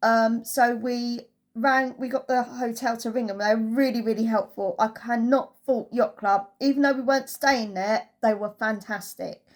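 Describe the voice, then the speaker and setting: A woman's voice: on the high side, steady tone, moderate pace. A woman giving a casual, chatty holiday review as a travel vlogger, telling it through anecdotes.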